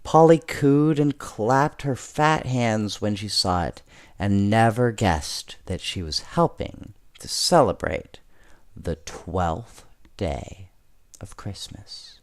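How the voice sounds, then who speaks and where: Male bedtime storyteller, unhurried and gentle, with lively rise and fall and informal asides. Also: on the low side.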